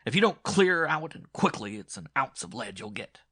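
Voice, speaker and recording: A gruff farmer from a dark tale, played by a male narrator, hot-tempered and scornful. He barks his words with a hard, forceful edge, raised above a normal speaking pitch. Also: big pitch swings, normal speed. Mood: angry, threatening. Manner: gruff, barking, contemptuous. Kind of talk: audiobook horror story acting.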